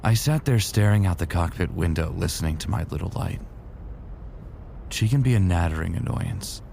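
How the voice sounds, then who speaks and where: A man's voice: low-pitched, animated, normal speed, gravelly, mellow, slight background noise. A man playing a weary, remorseful futuristic soldier in an earnest, brooding way, narrating and speaking in a sci-fi audio drama. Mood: reflective.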